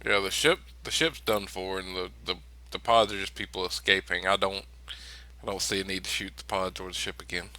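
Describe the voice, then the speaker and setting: A man's voice: low voice, fairly even intonation, brisk, pushed and bright, rough recording. A man chatting casually as a player in a tabletop game, with some background noise. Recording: clear background noise, ambient.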